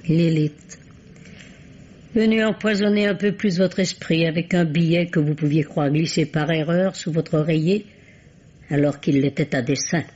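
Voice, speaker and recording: A man's voice: on the high side, steady tone, normal speed, darkish tone, husky. An actor playing a shrewd, courteous male sleuth in a wry, urbane old French radio drama manner. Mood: explaining. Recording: dull old radio recording.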